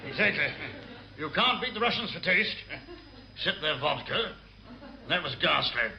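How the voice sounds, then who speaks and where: High, blustering British ambassador. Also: male voice, big pitch swings, moderate pace, creaky, pushed and bright, exaggerated voice. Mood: jovial bluster. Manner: blustering, hesitant with names. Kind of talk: radio sitcom acting. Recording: echoey old radio recording, audience behind.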